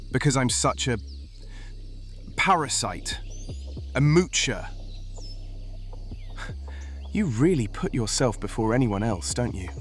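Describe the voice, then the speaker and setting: Animated roguish sellsword played by a man. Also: medium pitch. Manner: cheeky, dramatic, emotionally swinging. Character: roguish, wounded, theatrical male sellsword and treasure hunter. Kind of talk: fantasy audio roleplay acting.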